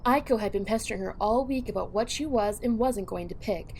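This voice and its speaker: A woman narrates an audio drama from a script. Her mid-pitched voice carries noticeable creak and fry, with faint noise behind it. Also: brisk, a little mellow.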